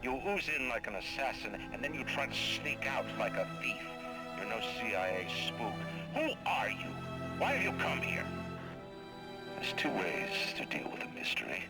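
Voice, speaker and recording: An actor playing a menacing, imperious male tyrant in a video game, taunting theatrically and deliberately. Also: mid-range voice, very animated, over loud music. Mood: cold suspicion. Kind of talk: dramatic video game villain acting.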